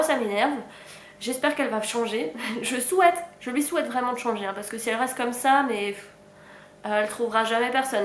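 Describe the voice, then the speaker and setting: A woman's voice: high voice, fairly bright tone, reverberant, a little hiss or hum. A young woman with a stuffy cold, chatting frankly about her reading as a book vlogger, with sighs and small laughs. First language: French. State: sick with a stuffy nose. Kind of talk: casual reading update.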